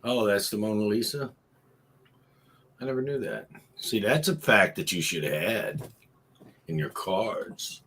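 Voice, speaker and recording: A man's voice: fairly low pitch, measured pace, long pauses, slightly rough. A middle-aged man with a Missouri accent, joking and teasing as a co-host on a casual live morning show. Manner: joking, teasing, lively back-and-forth. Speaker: regular co-host. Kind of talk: casual live-stream banter.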